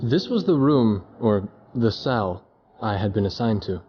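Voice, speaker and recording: A man's voice: fairly low pitch, expressive, measured pace, dull audio. A man playing a young, reflective and earnest war photojournalist in a video game. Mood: reflective, sober. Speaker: narrator and journalist character. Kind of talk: scripted narration and dialogue in game cutscenes. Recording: clearly noisy track with vehicle ambience.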